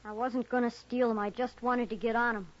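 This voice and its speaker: A woman's voice: a little high, level tone, a little fast, natural voice. A woman voicing a lonely, distrustful young boy in a western radio drama, guarded and defensive. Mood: defensive, hurt. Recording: muffled old radio recording.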